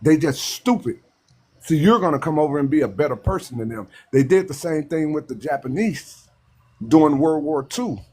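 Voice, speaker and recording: A man's voice: on the high side, expressive, dark tone, breathy edge. A man from Texas, making his point with emphasis and repeated restarts in a live panel discussion. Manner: emphatic, repetitive, halting restarts. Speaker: panel speaker.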